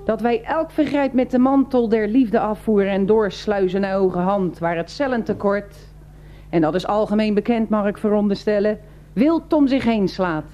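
An actress playing a stern, pompous, long-winded official in a Dutch radio play. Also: female voice, mid-range voice, normal speed, warm tone, lo-fi sound, slight background noise, natural voice. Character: stern pompous female official railing against petty crime.

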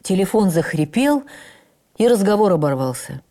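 A woman playing an actress who recalls her life in the theatre, narrating in the first person for radio drama in an expressive, measured, literary way. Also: mid-range voice. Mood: earnest, reflective. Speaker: actress reading a stage memoir. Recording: radio broadcast with faint music.

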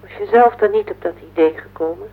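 Actor as an earnest, worried wife, muffled recording. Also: female voice, mid-range voice, fairly quick, lightly acted. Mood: reproachful, insistent. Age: 40s. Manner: earnest, plaintive, insistent. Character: worried, insistent wife of forty-one, earnest and heavy-hearted. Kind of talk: radio play acting. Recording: noisy, band-limited old radio play recording.

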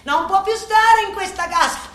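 A woman's voice: extremely high pitch, moderate pace, fairly bright tone. An actress voicing an insistent, formal male doctor with a Sicilian accent in a theatrical radio drama. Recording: roomy echo, noisy background.

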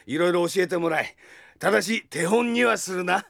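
An actor playing a gruff, hot-tempered older police chief in a Japanese audio drama, gravelly and blustering as he barks orders. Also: high voice, normal speed, fairly bright tone, no echo, clean studio sound. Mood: gruff, commanding.

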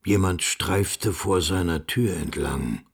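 A man reading scripted literary narration for a radio drama in a measured, grave way, with music underneath. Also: low-pitched, a little hiss or hum.

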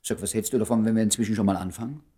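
A man's voice: on the low side, fairly even intonation, rapid-fire, mellow, lightly acted. An actor playing a jealous, sardonic, lovesick man in his thirties in a radio comedy drama, blustering and stammering when worked up. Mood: dry, sardonic. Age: 30s.

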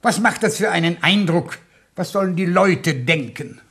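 A pedantic older headmaster in a radio comedy. His voice is fairly high and husky, and he lectures with pompous, admonishing authority. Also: expressive, moderate pace, fairly bright tone, full character voice. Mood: pompous lecturing. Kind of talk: comedic German radio play acting.

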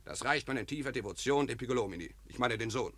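A man's voice: mid-range voice, quick pace, a bit bright, no room sound, close to an everyday voice. An actor playing a bold soldier who rallies his comrades, declaiming rhyming verse in a classic radio play. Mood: rousing.

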